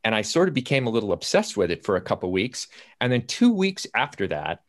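A man's voice: lowish voice, big pitch swings, a little fast. An older man, a playwright, telling a story casually as a panelist on a video call. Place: indoors at home on a video call.

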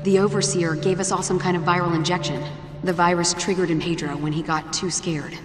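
An actress playing a brave, determined young American survivor in a video game drama, earnest and resolute. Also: female voice, on the low side, fairly even intonation, a little fast, faint music behind, natural voice. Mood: resolute, tense. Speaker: voice actor.

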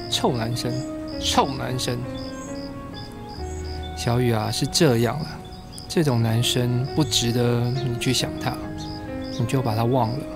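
A man's voice: mid-range voice, close to an everyday voice. A man acting a soothing, protective male schoolteacher in a radio drama. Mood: indignant, consoling, then gentle, reassuring. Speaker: voice actor in a fan radio drama. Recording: music behind the voice.

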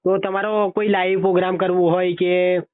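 A man's voice: high-pitched, level tone, normal speed. A man conducting a casual interview over the phone. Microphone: phone call. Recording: tinny narrowband phone line.

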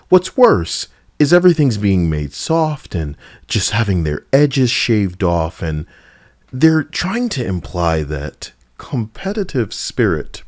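A man with a lowish voice delivers casual gaming commentary. His intonation moves around a great deal, giving his blunt, sarcastic opinions an animated edge. The recording is dry and close, with very little room sound. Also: dry recording. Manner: edgy, sarcastic, blunt, profane. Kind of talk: casual opinion commentary over game footage.

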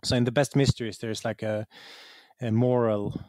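Halting man explaining, close dry sound. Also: medium pitch, steady tone, darkish tone, dry recording. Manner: halting, searching for words, self-correcting. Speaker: guest, game writer. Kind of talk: conversational interview explanation.

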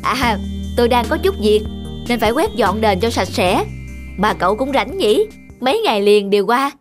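A woman's voice: a little high, very animated, normal speed. Woman acting a humble old temple keeper. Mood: puzzled, patient. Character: humble elderly male temple keeper in folktale. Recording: music behind the voice.

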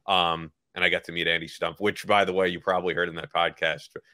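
Bright-voiced, emphatic man in his thirties. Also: sits low, level tone, fast talker, no room sound, clean studio sound. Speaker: podcast co-host. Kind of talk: casual chat. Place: bedroom, indoors. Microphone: headset on a video call.

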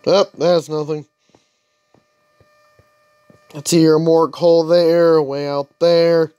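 A man's voice: a little high, flat delivery, slow, dark tone, clean tone, dry recording, lo-fi sound. A young man casually commenting on his own gameplay, chatty and grumbling, talking to himself as he plays. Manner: grumbling, chatty, talks to himself while playing. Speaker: gaming channel host. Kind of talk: casual let's-play commentary.

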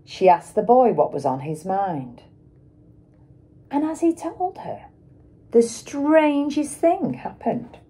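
A middle-aged woman reads a children's picture book aloud like a teacher, with dramatic emphasis and pauses for young listeners. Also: on the high side, very animated, dark tone, husky. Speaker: schoolteacher reading aloud.

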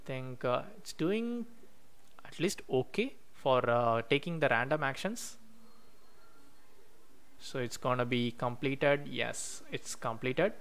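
Male tutorial host with a smooth, clear mid-pitched voice, narrating code at a desk with typing behind. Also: expressive, fairly bright tone. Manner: explains step by step while typing code, many "uh" pauses. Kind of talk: screen-recorded coding walkthrough. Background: keyboard typing.

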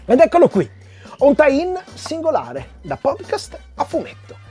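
A man's voice: extremely high pitch, huge pitch swings, measured pace, warm tone. A man in his forties presenting a comic review in a chatty, conversational way, addressing viewers directly. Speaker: comic book reviewer, video host. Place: small room with shelves of comics, indoors. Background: background music.

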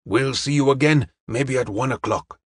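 A man's voice: medium pitch, moderate pace, breathy edge, dry recording, lightly acted. A man playing a courteous, wise Chinese detective in an audiobook, speaking formal, stilted English with dropped articles. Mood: calm, benevolent.

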